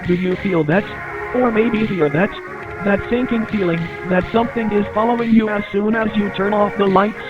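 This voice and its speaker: A man acts out an eerily reassuring male announcer for a sinister analog horror advert. The voice is high and deliberate, pitched like a salesman, in a muffled lo-fi recording with prominent music behind it. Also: steady tone, lightly acted. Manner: deliberate, salesman-like address to the listener. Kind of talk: scripted infomercial narration in analog horror. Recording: muffled lo-fi playback under loud music.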